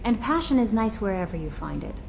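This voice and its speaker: An actress playing a tender, flirtatious starship crew member in a video game, speaking gently and intimately. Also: female voice, medium pitch, very animated, fairly quick, creaky, noisy background. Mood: tender, flirty.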